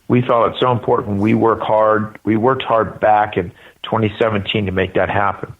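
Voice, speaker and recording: An older American man speaking haltingly and earnestly as a guest spokesperson in a live radio interview over the phone. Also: lowish voice, level tone, a little hiss or hum. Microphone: telephone. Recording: tinny narrow phone line.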